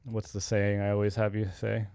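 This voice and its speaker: A man's voice: lowish voice, monotone, normal speed, gravelly, dark tone, clean tone, dry recording, studio quality. A young adult man co-hosting a casual podcast, rambling and correcting himself as he talks. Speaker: podcast co-host.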